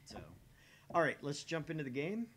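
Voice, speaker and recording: A man's voice: fairly high pitch, lively intonation, normal speed, a bit bright, slight background noise. A man co-hosting a gaming livestream in casual, conversational chat with his audience and co-host.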